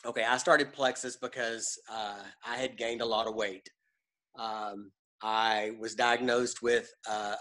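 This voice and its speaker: A man's voice: medium pitch, fairly bright tone, poor audio. A man with a Texan accent telling his story on a video call from home, folksy and rambling with lots of hesitations. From Texas. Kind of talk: casual self-introduction. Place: home room with a lamp.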